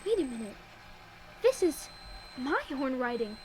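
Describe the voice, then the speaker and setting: A woman acts out an earnest female pony scientist in a radio play. Her voice is high and anxious, full of bewildered questioning. Noticeable ambient noise sits behind her. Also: sing-song, a little slow, darkish tone, poor audio. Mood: bewildered, alarmed. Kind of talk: dramatic audio drama acting.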